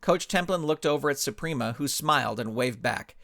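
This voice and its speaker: A man's voice: mid-range voice, animated, fairly quick, gravelly, fairly bright tone. A man narrating a scripted comic adventure story as its author and reader.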